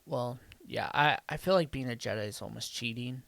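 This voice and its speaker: A man's voice: mid-range voice, moderate pace, vocal fry, fairly bright tone, dry recording. A man co-hosting a podcast in casual banter. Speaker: podcast co-host.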